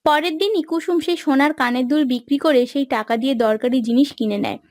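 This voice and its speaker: High-voiced woman narrating a story. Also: fairly quick, darkish tone. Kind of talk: scripted storytelling narration for a cartoon.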